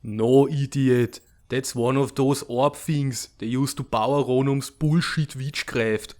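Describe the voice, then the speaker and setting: A man's voice: medium pitch, fairly even intonation, slow pace, darkish tone, lightly acted. A man playing a pragmatic, impatient military strategist with a blunt, matter-of-fact manner in a comic fandub. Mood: irritated, doubtful. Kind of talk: dramatic comic fandub acting.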